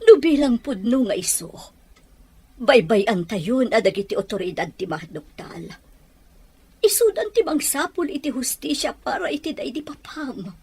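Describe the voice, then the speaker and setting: A woman performs an anxious Filipino mother in an audio drama. Her voice is fairly high and noticeably husky. She pleads with emotion, like a widow desperate to protect her family. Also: sing-song, normal speed, darkish tone, no echo, full character voice. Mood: soothing, pleading. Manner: imploring, emotional. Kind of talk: Ilocano radio-style audio drama acting.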